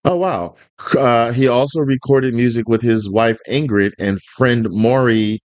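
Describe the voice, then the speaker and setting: A man's voice: mid-range voice, measured pace, dry recording. A man in his fifties hosting a music reaction video, talking casually and earnestly with lots of ums and restarts. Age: 50s. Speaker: reaction channel host. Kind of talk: casual reaction chat and storytelling.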